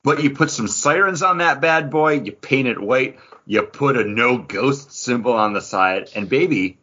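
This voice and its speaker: A man's voice: mid-range voice, lively intonation, measured pace, sharp tone, lo-fi sound. A middle-aged man co-hosting a pop-culture podcast in casual, jokey chat. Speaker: podcast co-host.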